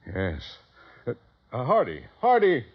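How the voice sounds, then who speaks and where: A man's voice: mid-range voice, wildly swooping pitch, slow pace, breathy edge, exaggerated voice. An actor playing a kindly old wealthy father figure in a 1950s radio drama, tender and fussing, repeating his words. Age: older. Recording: muffled vintage 1950s radio recording.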